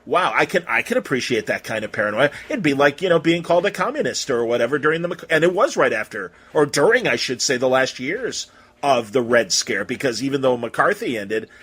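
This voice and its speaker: A man with a slightly rough, husky voice hosts a casual interview. He speaks at a middling pitch and rambles in an effusive, informal way, circling around his thoughts as he talks. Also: sing-song, a little fast, a bit bright. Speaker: podcast interviewer.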